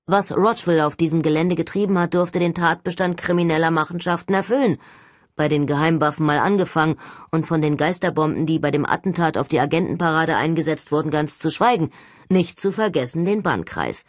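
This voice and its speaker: A confident teenage boy, voiced by a woman narrator in an audiobook. He is a calm leader who reasons things through with self-assurance. The voice is low and recorded dry. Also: a little fast, dry recording, lightly acted. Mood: confident reasoning.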